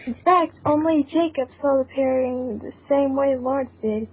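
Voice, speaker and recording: High-voiced woman on a tinny call. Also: moderate pace. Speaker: narrator of a group fan-fiction reading. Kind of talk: scripted narration and casual chat. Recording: tinny narrowband voice call, faint noise, clicks.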